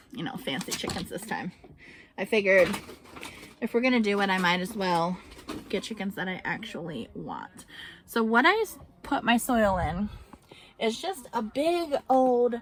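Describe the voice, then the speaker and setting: A woman's voice: mid-range voice, slight background noise. A woman homesteading vlogger talking casually to camera in a chatty, rambling way. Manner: chatty and rambling, reads out seed names.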